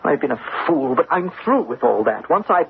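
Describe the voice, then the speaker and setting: An actor playing a proud, bitter young gentleman in an old-time radio drama, clipped and haughty. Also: medium pitch, sing-song, moderate pace, gravelly, phone-quality sound. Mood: resolute. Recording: thin, band-limited old radio recording, faint noise.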